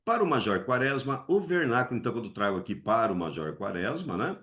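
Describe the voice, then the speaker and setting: A man's voice: very animated, quick pace, a bit bright. A man from Brazil teaching an exam essay lesson, asking himself questions and answering them, with many tag questions. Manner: swings his pitch high to act out voices.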